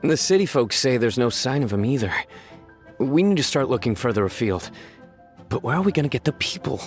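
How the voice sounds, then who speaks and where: A weary city guard, voiced by a man, frank and tired in a video game. His mid-pitched voice rises and falls a lot. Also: expressive, fairly quick, faint music behind, natural voice. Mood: concerned.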